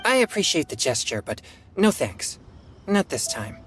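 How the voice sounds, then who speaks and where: A woman voicing a calm, polite, reserved teenage boy in a video game, with measured delivery. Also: low-pitched, soft background music. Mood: politely declining. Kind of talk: animated video game character acting.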